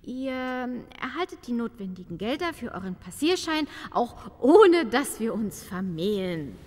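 A woman's voice: fairly high pitch, big pitch swings, a little slow. A woman playing a haughty, refined countess in a comedic audio drama, with formal, theatrical diction. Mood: gracious, firm. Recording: long hall reverb.

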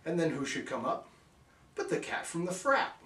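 Male storyteller, theatrical, unhurried, very animated intonation, for rhyming bedtime parody; roomy, slightly distant sound. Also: a little high. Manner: theatrical, measured. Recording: roomy, somewhat distant microphone.

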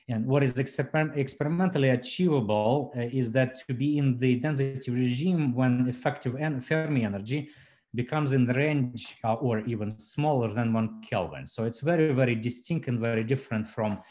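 A man's voice: medium pitch, a little mellow. A man in his forties giving a physics seminar talk over a video call from home, hesitant with frequent fillers and restarts. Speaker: physics lecturer. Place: home room indoors. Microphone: laptop webcam on video call.

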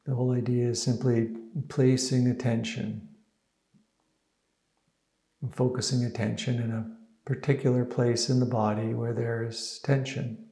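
A man leading a guided meditation as a teacher, speaking in an unhurried, soothing way with gentle pauses. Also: medium pitch, level tone. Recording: echoey, roomy sound.

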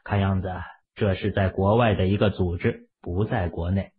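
A man's voice: low-pitched, steady tone, normal speed, breathy edge. A man narrating a novel expressively as an audiobook reader. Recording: muffled, phone-like narrow sound.

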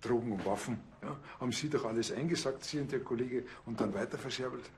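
An actor playing a talkative, earnest police officer with a Bavarian accent in a TV crime comedy. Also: male voice, medium pitch, quick pace, lightly acted. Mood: accusing.

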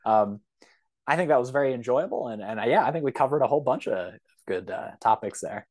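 Thoughtful man talking haltingly as podcast guest. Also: mid-range voice, lively intonation, darkish tone. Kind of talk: thoughtful conversational interview.

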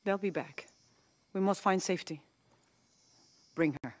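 A woman playing a stern, regal mother and leader of a hidden people in a video game, measured and commanding. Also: fairly low pitch, no room sound. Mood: wary, commanding. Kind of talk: video game cutscene acting.

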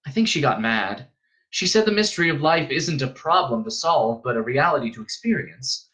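Man playing a troubled noble youth, earnest, raised pitch, lively intonation, audiobook fantasy. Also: poor audio. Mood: thoughtful. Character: earnest, troubled teenage boy, a duke's son.

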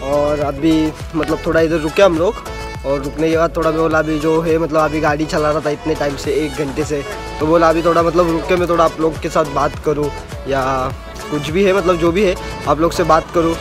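A man's voice: a little high, steady tone, a little fast, music in the background. A young man vlogging casually outdoors, rambling with lots of fillers and restarts, filmed on a phone held at arm's length. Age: young adult. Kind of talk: casual travel vlog. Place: outdoors by a roadside.

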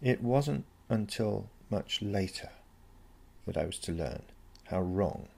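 A man's voice: on the low side, expressive, slow, creaky, a little mellow, slightly rough. A man reading a first-person story as scripted narration. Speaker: story reader.

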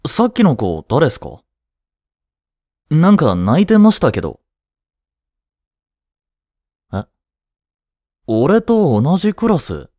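A man acting a cheeky, laid-back high-school slacker in a romantic audio drama, with casual, teasing, blunt slang. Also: dark tone, no room sound, studio quality, heavily acted. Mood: casual, nonchalant.

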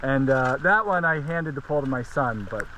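A man's voice: mid-range voice, animated, moderate pace, a crowd in the background, poor audio. A middle-aged man chatting as a guest while fishing outdoors, joking at his own expense. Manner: joking, self-deprecating. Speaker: guest angler. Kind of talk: casual chat while fishing. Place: riverbank outdoors in rain.

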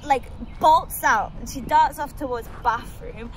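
Actress as high-pitched chatty young British woman. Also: measured pace. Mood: gossipy. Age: young adult. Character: chatty young woman gossiping, impatient girlfriend. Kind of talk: naturalistic audio drama dialogue. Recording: outdoor ambient noise.

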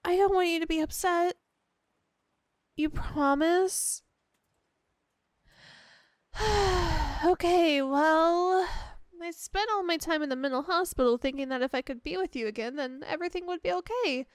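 A woman's voice: extremely high pitch, unhurried, pauses often, no echo, clean studio sound. A woman playing a clingy, obsessive young girlfriend in an ASMR roleplay, sweet, gushing and emotional, with an apologetic, hesitant way of talking. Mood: fragile, confessing.

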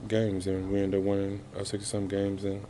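A man in his thirties answering reporters after a game, halting and subdued, with many fillers. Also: deep voice, monotone, mellow, a little hiss or hum. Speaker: basketball player at a postgame press conference. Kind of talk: postgame press interview. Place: arena press room, indoors. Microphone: reporters' handheld mics held close.

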